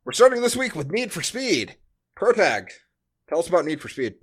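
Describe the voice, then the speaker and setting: A man with a voice a little on the high side hosts a podcast. He recaps and reviews in a loose, conversational style, as if chatting with a friend. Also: sing-song, moderate pace, breathy edge. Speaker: podcast host. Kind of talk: casual movie-review chat.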